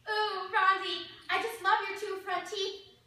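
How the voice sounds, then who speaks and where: A woman's voice: very high-pitched, steady tone, unhurried, bright, forceful tone, exaggerated voice. A woman playing a gushing, teasing schoolgirl in a reader's theatre stage performance. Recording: very echoey room, distant mic, faint noise.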